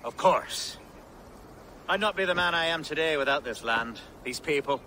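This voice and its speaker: An actor playing an earnest, courteous Anglo-Saxon nobleman and war leader in a video game, with a formal, measured manner. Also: on the high side, animated, bright, forceful tone, slight background noise. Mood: grateful, formal. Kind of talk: video game cutscene acting.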